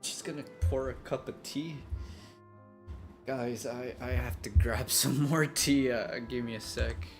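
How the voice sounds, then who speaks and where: A woman streamer with an extremely low voice reacts casually to a game as she plays. Game music runs clearly behind the talk. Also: steady tone, measured pace. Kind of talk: casual live reaction commentary.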